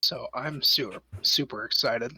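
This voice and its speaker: A man's voice: medium pitch, a little slow, bright, forceful tone, husky, dry recording, low-quality recording. A man who plays in a tabletop game, chatting casually with friends. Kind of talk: casual chat.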